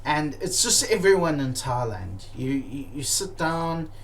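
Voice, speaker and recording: A man telling comic stories about his travels, animated and profane, with many fillers and restarts. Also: on the high side, measured pace, slight background noise. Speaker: comedic storyteller.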